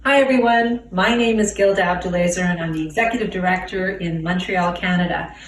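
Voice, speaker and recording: A woman, an executive director, giving a measured and clear video greeting. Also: on the low side, roomy sound, distant mic, a little hiss or hum.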